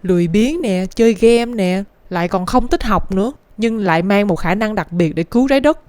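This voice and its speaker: A woman's voice: medium pitch, lively intonation, a little fast, darkish tone, no room sound, lightly acted. Woman voicing an earnest cartoon rooster scientist, southern Vietnamese. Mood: solemn, persuasive. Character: talking rooster scientist, secretive earnest protector of the Earth. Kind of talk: animated cartoon dialogue. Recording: music behind the voice.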